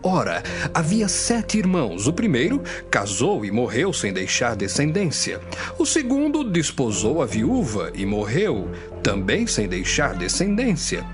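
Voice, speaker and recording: A man's voice: medium pitch, wildly swooping pitch, a little slow, music in the background. Man acting a swooping, theatrical religious sceptic. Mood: sly, sceptical. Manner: theatrical, sing-song questioning. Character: Sadducee, male sceptical religious challenger posing a riddle. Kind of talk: dramatized scripture audio reading.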